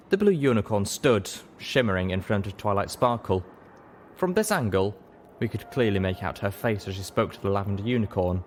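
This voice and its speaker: Deep-voiced man narrating a story. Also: a little hiss or hum. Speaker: audiobook reader. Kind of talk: dramatic fan-fiction audiobook narration.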